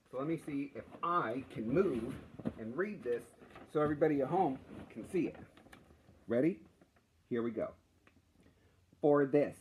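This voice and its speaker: Animated, slow-paced teacher man reading aloud. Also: medium pitch, a little hiss or hum. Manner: animated, encouraging. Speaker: primary school teacher. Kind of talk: children's read-aloud with playful voices. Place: small classroom, indoors.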